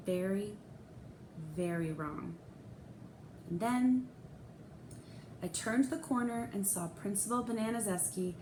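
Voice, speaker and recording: Woman, expressive storybook narration, dramatic pauses, mid-range voice, roomy echoing sound. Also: medium pitch. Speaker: read-aloud storyteller. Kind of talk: expressive children's audiobook-style reading. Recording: echoey room, faint background noise.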